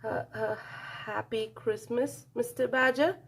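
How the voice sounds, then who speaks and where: A woman plays a timid male mole from a children's story. He speaks slowly and hesitantly, with polite apology in a raised, slightly husky voice. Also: on the high side, fairly even intonation, pitched above the performer's own voice, exaggerated voice.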